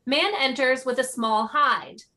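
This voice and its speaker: A woman reads stage directions as a narrator. Her voice is on the high side and clearly bright, delivering scripted narration for a play. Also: unhurried.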